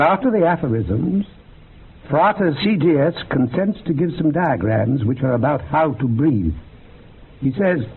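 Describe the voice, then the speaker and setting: An actor playing an earnest, bookish occult researcher in a radio drama, lecturing in a measured, gravelly, husky voice. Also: male voice, a little high, heavily acted. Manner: measured, lecturing, quotes texts with relish. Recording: muffled phone-like old radio recording.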